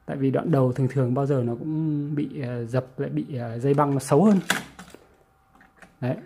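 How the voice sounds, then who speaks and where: A man with a northern Vietnamese accent giving a casual hands-on tutorial, halting and repeating words as he goes. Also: mid-range voice, fairly even intonation, noisy background. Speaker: vlogger presenting a how-to. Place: small indoor room with audio gear.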